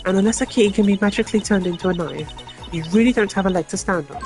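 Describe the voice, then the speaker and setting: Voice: medium pitch, fast, darkish tone, soft background music, pitched above the performer's own voice, natural voice. A streamer voicing a smug, mocking young woman suspect from a video game, teasing, dismissive and theatrical. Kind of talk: video game character voice acting.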